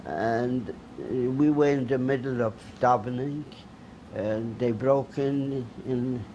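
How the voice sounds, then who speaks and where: Older man from Poland, native Polish; slow, hesitant survivor testimony, mellow tone. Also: mid-range voice, lo-fi sound, a little hiss or hum. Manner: halting, many fillers and restarts. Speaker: Holocaust survivor giving testimony. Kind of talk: oral history testimony.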